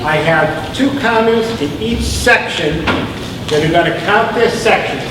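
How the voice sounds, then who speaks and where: A man's voice: sits high, unhurried. A man moderating a public town meeting, plainspoken and firm as he gives instructions to the crowd. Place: large auditorium. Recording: echoey hall, mic far off, noisy.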